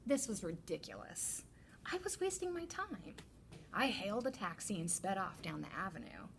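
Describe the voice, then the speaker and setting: Woman reading to children, slightly gravelly voice. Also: medium pitch, a little hiss or hum. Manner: deliberate, expressive storytelling to children. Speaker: teacher librarian. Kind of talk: children's picture book read-aloud.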